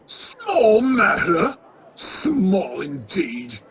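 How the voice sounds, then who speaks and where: A woman playing an anxious, sentimental old male miser in a radio play, stammering and halting with emotion. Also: medium pitch, wildly swooping pitch, breathy edge, exaggerated voice. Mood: earnest, stirred.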